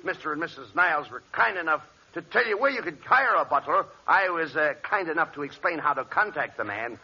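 A bright, gravelly, lively voice of a bossy, exasperated straight man in a 1940s radio comedy. He scolds with impatience. The recording is dull and faintly hissy, like an old broadcast. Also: a little high, fairly quick, full character voice.

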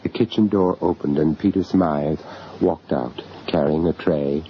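An actor playing an earnest, courteous gentleman investigator recounting a horror tale in an old radio drama, sometimes hesitant and always dramatic. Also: extremely low pitch, measured pace. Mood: ominous narration. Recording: muffled, noisy old radio drama recording.

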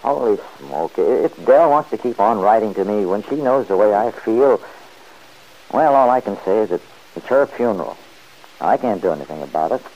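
A man playing a restless, stubborn middle-aged man who answers gruffly and defensively, in 1940s radio acting. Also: lowish voice, expressive, normal speed, darkish tone, muffled recording.

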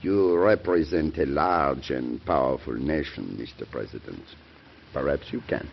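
An actor playing a courteous, cynical, hard-nosed old French statesman with measured formality in a radio drama. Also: extremely low pitch, gravelly, music in the background, heavily acted. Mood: skeptical, firm. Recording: muffled old radio broadcast recording.

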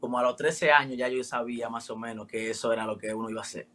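A man in his twenties from the Dominican Republic with a Dominican accent, a rapper answering interview questions in relaxed slang. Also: mid-range voice, quick pace. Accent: Dominican Spanish. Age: 20s. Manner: relaxed, street slang with frequent "me entiendes" tags. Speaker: rapper guest.

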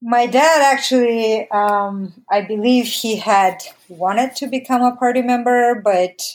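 A woman's voice: a little high, a little slow, poor audio. Reflective woman. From Bulgaria. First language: Bulgarian. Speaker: interview guest, documentary filmmaker. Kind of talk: conversational personal recollection.

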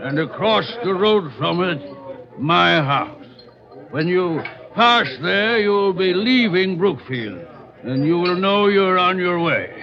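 An actor in an old radio drama playing a hearty, boastful colonial tavern patriot with a pompous, courtly manner. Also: male voice, sits high, lively intonation, slow pace, exaggerated voice. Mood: instructive. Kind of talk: 1950s-style radio drama acting. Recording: dull vintage radio recording, tavern chatter.

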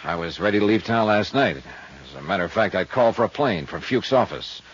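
An actor playing a laconic, world-weary investigator in 1950s radio drama, dry, clipped and matter-of-fact. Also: male voice, sits low, expressive, fairly quick, slight background noise. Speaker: lead detective and narrator. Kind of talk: 1950s hard-boiled radio acting and first-person narration. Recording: dull old radio recording.

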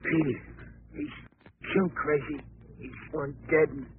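A man's voice: fairly high pitch, fairly even intonation, unhurried, natural voice. A man acting a mortally wounded crook, weak and labored, in a 1940s radio drama. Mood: dying, fearful. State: weak, labored, dying delivery. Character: mortally wounded crook gasping out his last warning. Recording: muffled, noisy old radio recording.

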